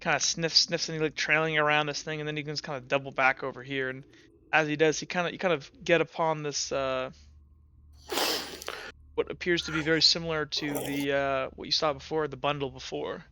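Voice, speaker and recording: A man running a tabletop game as game master, narrating scenes with many pauses and restarts. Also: fairly high pitch, fairly even intonation, sharp tone, smooth, muffled recording. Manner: hesitant, full of ums and restarts.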